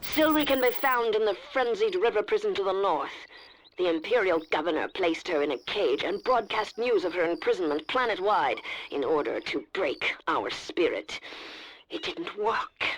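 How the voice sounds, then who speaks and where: A woman playing a fierce, vengeful dark-side witch warrior in a video game, proud, solemn and intense. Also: medium pitch, close to an everyday voice, low-quality recording. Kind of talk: scripted video game acting.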